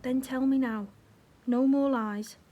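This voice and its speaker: An actress playing a frustrated young wife from wartime northern England in an audio drama, blunt and emotional, with a Northern English accent. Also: female voice, high-pitched, fairly even intonation, takes it slowly, mellow, a little hiss or hum. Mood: earnest pleading.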